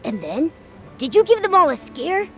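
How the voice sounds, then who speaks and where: Very high, cute companion creature. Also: female voice, very animated, normal speed, fairly bright tone, faint music behind, exaggerated voice. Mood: curious. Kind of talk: video game cutscene acting.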